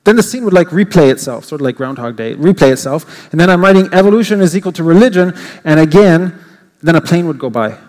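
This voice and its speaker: A man's voice: on the high side, animated, quick pace, darkish tone. An older English Canadian man giving an informal, rambling church talk, with frequent fillers and restarts. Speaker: guest speaker. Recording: reverberant church hall.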